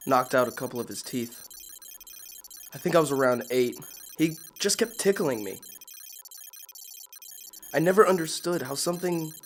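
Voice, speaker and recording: A woman playing a defiant, brooding captive in an introspective audio drama monologue. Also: deep voice. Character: caged, angry captive with superhuman senses, brooding and defiant. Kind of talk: scripted audio drama narration. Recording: music under the voice.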